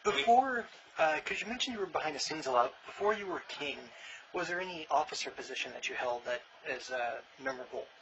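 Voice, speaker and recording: A man interviewing an older member of a reenactment society, asking brisk, encouraging questions. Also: on the high side, lively intonation. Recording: dull sound with faint noise.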